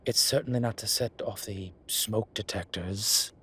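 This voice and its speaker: A man's voice: fairly low pitch, measured pace, bright, forceful tone, a little hiss or hum. A man playing a vain, suave incubus demon who poses as a posh professor in an audio roleplay, with a wry, self-satisfied drawl. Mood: exasperated, dry. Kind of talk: scripted audio roleplay acting. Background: ambient sound effects.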